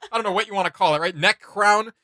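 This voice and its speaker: Bright-voiced man, rambling fan commentary. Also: sits high, fast, no room sound, clean studio sound. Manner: rambling, slangy, enthusiastic fan talk. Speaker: reaction video host. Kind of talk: casual unscripted reaction commentary.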